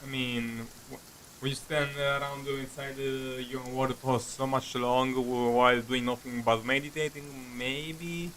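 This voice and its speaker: A man doing casual live game commentary as a streamer, halting and self-deprecating in how he talks. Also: mid-range voice, flat delivery, fairly bright tone, slight background noise, poor audio. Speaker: game livestreamer. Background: game music.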